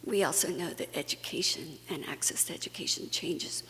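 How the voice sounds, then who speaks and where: A woman's voice: deep voice, fairly quick, sharp tone. A woman from Guatemala giving an earnest, conversational conference talk, with fillers and restarts. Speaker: conference speaker. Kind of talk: plenary talk to a faith audience. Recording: long hall reverb, faint hum.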